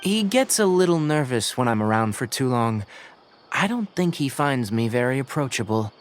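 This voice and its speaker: A man's voice: medium pitch, sing-song, normal speed, a little hiss or hum, natural voice. A man voicing a composed, scholarly young forest ranger in a video game, youthful, articulate and matter-of-fact. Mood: calm, instructive. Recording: music behind the voice.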